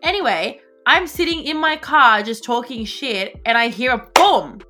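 A young woman with an Australian accent, co-hosting a podcast and telling a story in casual, animated chat full of fillers and swearing. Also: fairly high pitch, a little slow, faint music behind. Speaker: podcast co-host.

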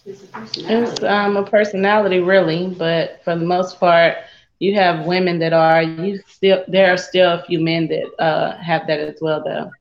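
A woman's voice: lowish voice, steady tone, a little slow, a little hiss or hum. Self-assured woman on a dull line. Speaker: guest. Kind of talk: casual group discussion.

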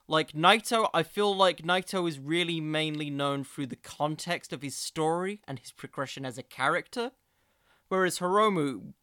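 A man's voice: on the high side, bright, forceful tone, clean tone, no room sound, clean studio sound. A man hosting a solo podcast, giving casual, opinionated commentary in an informal, digressive way. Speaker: podcast host.

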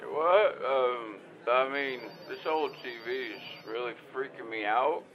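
A man acts out a flustered, clueless caller in an audio drama. He meanders slowly and hesitantly in a mid-pitched voice, and the line is dull and muffled. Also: lightly acted. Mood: nervous, rambling. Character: anxious, rambling, clueless male caller. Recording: dull, muffled phone-call sound.

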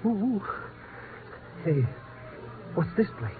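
High-pitched cowardly sidekick. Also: male voice, very animated, slow, soft background music, full character voice. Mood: jittery. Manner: nervous, whining, fractured grammar. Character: cowardly, greedy, comic foreign male sidekick. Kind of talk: 1950s radio comedy-drama acting. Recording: noisy, muffled 1950s radio recording.

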